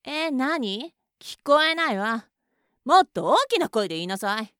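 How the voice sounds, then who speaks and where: A woman's voice: sits high, sing-song, a little slow, a bit bright, clear, resonant tone, no echo, studio quality, full character voice. A woman playing a scornful, bossy young woman. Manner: contemptuous, mocking, bossy. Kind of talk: adult audio roleplay.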